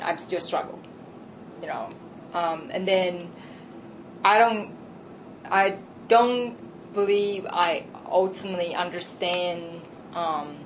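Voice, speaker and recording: Low-voiced woman with a Taiwanese accent. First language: Chinese. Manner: earnest, reflective, halting. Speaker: interviewee. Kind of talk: candid conversational answers. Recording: noisy background.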